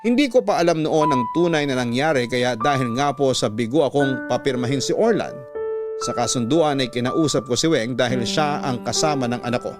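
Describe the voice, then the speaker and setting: A Filipino man narrates a radio drama story from a script in the first person. His voice is mid-pitched, with prominent instrumental music underneath. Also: brisk.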